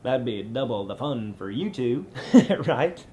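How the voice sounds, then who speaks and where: A man's voice: medium pitch, expressive, unhurried, dark tone, a little hiss or hum, voice pushed down, natural voice. A man in his thirties voicing a nervous, over-friendly prison cellmate who gushes and confides, in a comedy monologue. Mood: eager, sheepish. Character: nervous, chatty, oversharing male convict, over-friendly cellmate. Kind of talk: sketch comedy character monologue. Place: small indoor room.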